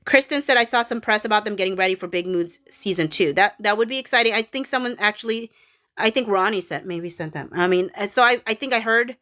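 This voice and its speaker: A woman with a Filipino accent chats casually on a livestream, reading viewer comments aloud. She rambles, restarts her sentences and fills pauses with "like" and "um." Her voice sits at a middling pitch. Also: sharp tone. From Philippines. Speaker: livestream host reading chat.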